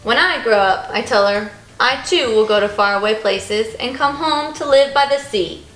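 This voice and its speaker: A woman reading a children's picture book aloud as an expressive storyteller. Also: a little high, normal speed. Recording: roomy echo, faint music behind.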